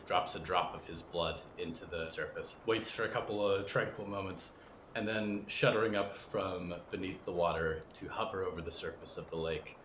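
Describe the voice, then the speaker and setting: A man hosting a podcast, measured and courteous, moving between interview talk and literary storytelling narration. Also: low voice, fairly bright tone. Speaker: podcast host. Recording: echoey room, faint background noise.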